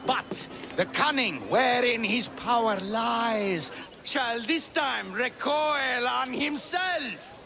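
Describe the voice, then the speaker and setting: Male game bit part: Greek comic declaimer, pompous and loud, very drawn-out delivery, noisy surroundings. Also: very high voice, creaky, exaggerated voice. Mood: grandiose. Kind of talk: stage declamation inside video game.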